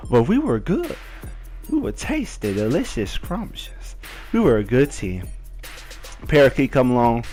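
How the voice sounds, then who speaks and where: A young man telling a personal football story in a casual, animated way, full of slang. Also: a little high. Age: young adult.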